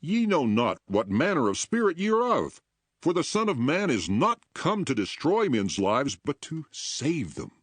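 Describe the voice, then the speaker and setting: An actor playing an earnest, authoritative male teacher in a dramatized scripture reading, solemn and emphatic in his measured declarations. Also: a little high, big pitch swings, slightly rough, no room sound, heavily acted. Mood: correcting. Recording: music behind the voice.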